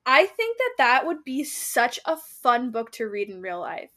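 A woman's voice: fairly high pitch, big pitch swings, measured pace, sharp tone. A woman co-hosting a book club podcast, talking casually and chattily, often saying "like" as she goes.

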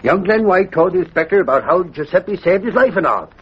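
A man's voice: high voice, animated, fairly quick. An actor playing a blustery, good-natured man in an old radio drama, talking in a folksy, emphatic way. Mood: hearty. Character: blustery, good-natured middle-aged Irish tough guy. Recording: muffled, noisy old radio recording.

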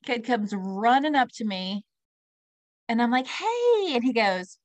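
A woman co-hosting a casual podcast, telling stories in a chatty, relaxed way and swearing casually. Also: fairly high pitch, unhurried, dry recording. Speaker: podcast co-host. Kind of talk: casual chat.

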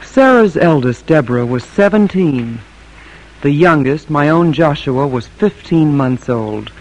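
A woman's voice: sits low, lively intonation, a little slow, darkish tone, low-quality recording. An actress playing an anxious, strong-willed, middle-aged colonial wife and mother, speaking with emphatic repetition in an old-time radio drama. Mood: wry, reflective. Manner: emphatic, repeating phrases for weight.

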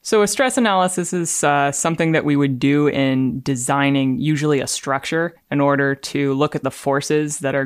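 A woman's voice: rumbling low, no echo. A woman reading aloud and explaining things as an engineer, in a dry, matter-of-fact manner. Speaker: engineer and podcast contributor.

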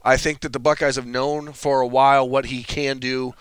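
A man talks through college football recruiting with quick, assured analysis and a dry wit. His voice is smooth and clear, recorded close and dry with no room sound. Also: mid-range voice, little pitch movement, no room sound. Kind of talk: casual sports talk.

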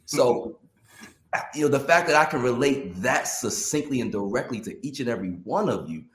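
A man from Scotland, raised elsewhere, speaking as a panel guest with an effusive, halting manner. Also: mid-range voice, animated, fairly bright tone, echoey room.

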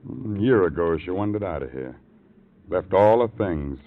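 Very deep, brooding rooming-house man. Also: expressive. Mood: guarded, musing. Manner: terse, slow-musing fragments. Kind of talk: 1950s radio drama acting. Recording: tinny, noisy old radio recording.

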